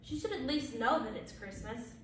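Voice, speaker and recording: Woman reading as a homely, soft-hearted husband, very echoey. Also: a little high, expressive, moderate pace, lightly acted. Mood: reluctant, sheepish. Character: simple, soft-hearted husband fond of food. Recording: very echoey, distant, faint background noise.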